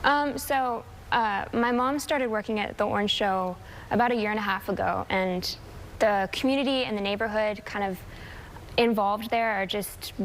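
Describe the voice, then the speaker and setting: A young woman in her late teens answering casually and haltingly as an interview guest, modest and a little giggly. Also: medium pitch, fairly even intonation, low-quality recording. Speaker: high school student guest. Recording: faint background noise.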